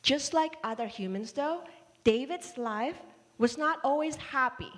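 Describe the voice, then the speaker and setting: A woman who grew up in Indonesia preaches a sermon at a very unhurried pace, her voice sitting a little high. She tells stories with playful asides. The sound rings with the long reverb of a church hall livestream. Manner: measured, warm storytelling with playful asides.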